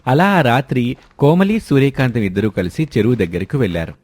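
Mellow male story narrator. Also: mid-range voice, expressive, a little fast, dry recording.

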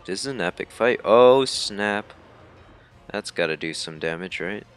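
Laid back guy doing a lets play, deepish voice, game music behind. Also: expressive, measured pace. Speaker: let's-player. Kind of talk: casual gameplay commentary. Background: video game music.

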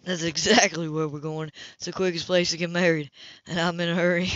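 Woman reader as blunt, smitten romance hero, audiobook, low register, insistent and earnest. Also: level tone, a little fast, fairly bright tone, dry recording, highs cut off, close to an everyday voice. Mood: exasperated.

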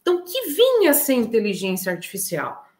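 A woman's voice: fairly high pitch, wildly swooping pitch, fairly quick, darkish tone. A middle-aged Brazilian woman giving an informal online lecture, explaining step by step with frequent check-in tags. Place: indoor room, online talk. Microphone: video call.

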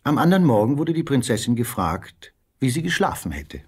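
A man's voice: medium pitch, big pitch swings, fairly quick, darkish tone, breathy edge. A man narrating a scripted fairy tale as storyteller.

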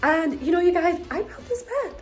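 A woman's voice: extremely high pitch, very animated, moderate pace. A young woman in her twenties giving a casual, giggly house tour to her viewers, chatting into a phone held at arm's length in a bedroom. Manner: giggly, bubbly, lots of "like" and "you guys".

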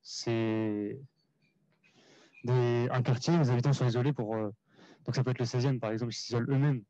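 Mellow, tentative young man. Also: medium pitch, steady tone, moderate pace, dry recording. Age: young adult. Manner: tentative, unsure. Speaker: audience participant. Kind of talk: casual impromptu answer. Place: small crowded café room. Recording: dull, lo-fi event recording.

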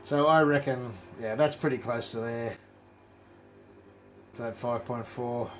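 A middle-aged man talks through a brewing demonstration as he works, relaxed and explanatory. His voice sits at an ordinary pitch, with a faint hum of room noise behind him in an indoor home brewery. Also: unhurried. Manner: relaxed, explanatory, thinking aloud while working. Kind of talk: casual hands-on demonstration.

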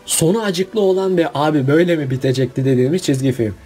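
A man's voice: fairly high pitch, fast, dark tone, soft background music. A man narrating a casual countdown video about cartoon finales in a chatty voice-over.

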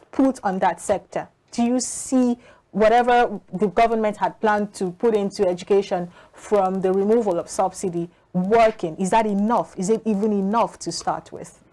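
A woman's voice: medium pitch, darkish tone. A woman co-hosts a studio discussion show. She asks probing, conversational questions in a mid-range voice. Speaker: TV show co-host. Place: TV studio set.

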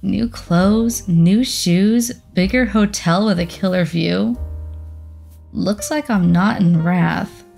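A woman's voice: medium pitch, slow pace, gravelly, darkish tone. A woman voicing a sassy, vain succubus popstar in a cartoon comic dub, with a sultry, sardonic drawl. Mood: groggy, musing. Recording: music behind the voice.

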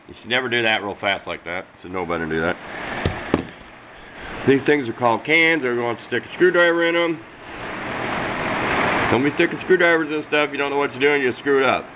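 A man's voice: mid-range voice, a little fast, pauses often. An American man giving a casual, unscripted show-and-tell, talking in a plain-spoken, rambling, self-deprecating way. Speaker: hobbyist radio demonstrator. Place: indoors at a workbench. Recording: tinny phone-like sound with clear background noise.

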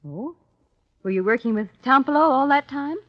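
An actress playing an anxious, gentle young wife in a 1950s radio drama, speaking hesitantly. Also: female voice, sits high, animated, moderate pace. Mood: tentative, worried. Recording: muffled 1950s radio recording.

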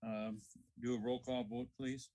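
A man chairing a formal board meeting, speaking off the cuff in a hesitant, halting way. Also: mid-range voice, flat delivery, mellow, poor audio.

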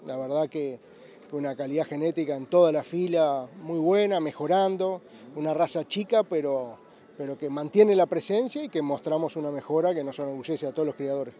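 A man's voice: fairly high pitch, a little fast, dark tone. A middle-aged man, a breeder answering interview questions outdoors in a fluent, emphatic way. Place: outdoor livestock show grounds. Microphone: handheld interviewer mic.

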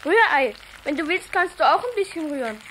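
Hard working young boy, super high bright voice, dutiful, 60s kids radio play. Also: big pitch swings, a little fast, distant mic, slight background noise, exaggerated voice, low-quality recording. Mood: busy, helpful. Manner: plain-spoken, dutiful. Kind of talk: 1960s German children's radio play acting.

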